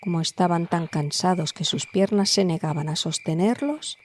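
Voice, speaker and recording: A woman narrating a scripted fairy tale as a storyteller, in a measured, dramatic way. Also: on the low side, slight background noise.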